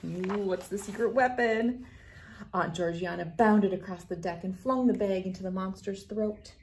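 A woman's voice: mid-range voice, normal speed, darkish tone, a little hiss or hum. A woman in her thirties reading a picture book aloud as a children's read-aloud host. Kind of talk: storybook read-aloud narration. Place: small wood-panelled room indoors.

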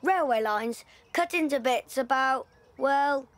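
A woman's voice: high-pitched, takes it slowly, dry recording. A woman playing an earnest, know-it-all wartime schoolboy in an audio drama. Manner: earnest, explaining.

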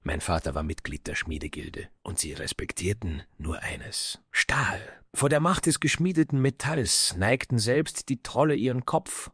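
An actor playing a somber, proud dwarf smith in a fantasy audio drama, grave and dramatic with breathy, hushed phrases and a husky voice. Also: male voice, on the low side, animated, a little slow, hardly any pauses, dry recording, studio quality, exaggerated voice. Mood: haunted, sorrowful.

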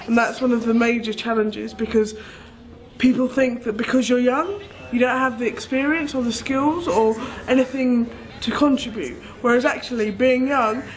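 A woman's voice: fairly high pitch, poor audio. A young woman from the United Kingdom, a youth activist, speaking earnestly in an interview. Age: young adult. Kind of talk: interview testimony.

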